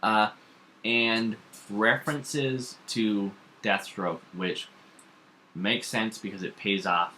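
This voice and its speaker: A man co-hosting a casual talk show, giving opinions in an animated, sarcastic manner. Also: lowish voice, slow, slight background noise. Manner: animated, sarcastic, acts out mock dialogue. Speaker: co-host of a comics and TV talk show.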